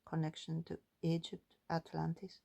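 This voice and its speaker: A woman doing a calm, musing spiritual card reading, partly reading guidebook text aloud. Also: sits low, moderate pace, creaky. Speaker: tarot and oracle card reader.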